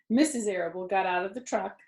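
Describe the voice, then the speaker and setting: A woman's voice: mid-range voice, animated, normal speed, a little mellow. A woman reading a children's story aloud expressively, with conversational asides to her listeners. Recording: roomy echo.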